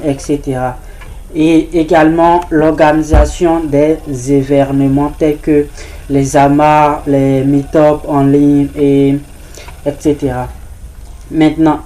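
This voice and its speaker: A woman's voice: deep voice, dark tone, poor audio. A woman giving an informal spoken presentation of a service, hesitant, with frequent restarts and filler words. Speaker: presenter of a crypto ambassador training service.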